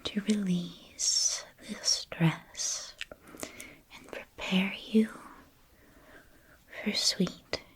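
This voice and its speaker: A woman whispering a guided ASMR meditation, speaking gently and soothingly in measured phrases with long pauses. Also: mid-range voice, fairly even intonation.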